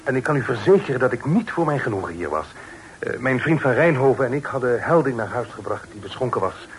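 An actor playing a decent, anxious gentleman in a radio play, courteous and hurried as he steers drunken friends. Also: medium pitch, expressive, natural voice. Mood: earnest, polite. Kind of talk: Dutch radio play acting. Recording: very noisy old radio play recording.

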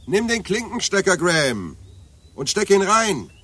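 A bullying, insistent inner voice in a radio play. The tone is husky yet bright and edgy. It leaps around in pitch as it pushes and threatens. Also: male voice, big pitch swings, a little slow, full character voice. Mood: bossy. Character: bossy inner voice goading a man.

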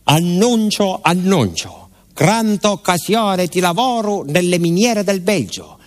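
An actor voicing a village crier grandly reading out an advertisement in a declamatory radio theatre style. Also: male voice, high-pitched, lively intonation, slow, pitched above the performer's own voice. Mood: proclaiming, grand.

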